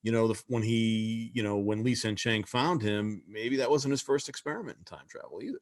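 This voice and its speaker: A man's voice: fairly low pitch, brisk. A man talking as a convention panelist in a casual fan discussion over a video call, emphatic and full of "you know" fillers.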